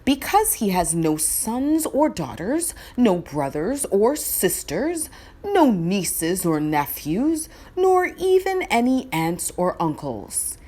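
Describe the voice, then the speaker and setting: A woman plays a solemn royal herald in a storybook. He proclaims formally and slowly, weighing each phrase in a declamatory manner. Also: mid-range voice, sing-song, mellow, voice pushed down. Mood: solemn, proclaiming. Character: solemn male royal messenger reading a proclamation.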